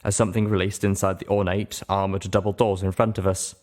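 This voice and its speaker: A man with a fairly low voice narrating a story aloud in an expressive audiobook style. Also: fairly quick. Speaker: narrator reading a fan fiction story aloud.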